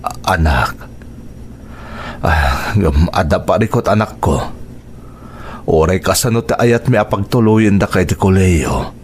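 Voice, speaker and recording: A man with a Filipino accent acting a worried, halting father of a poor family in an audio drama. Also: deep voice, animated, slight background noise. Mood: worried, gentle. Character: anxious, low-voiced father of a poor family.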